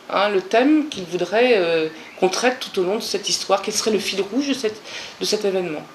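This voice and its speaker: A woman speaking as a local project organiser, recounting how a project came together in an interview. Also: lowish voice. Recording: roomy echo, faint background noise.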